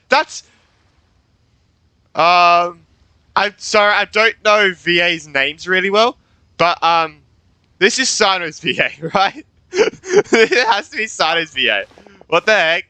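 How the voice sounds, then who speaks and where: A man hosts a casual game playthrough, reacting aloud informally. His voice is fairly high with big swings in pitch and an unhurried pace. Game music sits underneath. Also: sing-song, sharp tone, clear, resonant tone, no echo. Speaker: gamer, video host.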